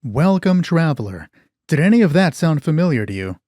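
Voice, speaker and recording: A man giving dramatic, deliberate scripted narration as a storyteller, with a husky voice. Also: a little high, sing-song, dark tone, no echo, clean studio sound, soft background music. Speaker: narrator and solo roleplay host.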